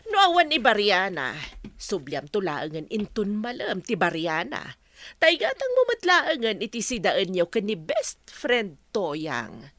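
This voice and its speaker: A woman playing a breezy, generous returning old school friend in an Ilocano radio comedy-drama, with an Ilocano accent and drawn-out, expressive delivery. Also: medium pitch, dry recording, heavily acted.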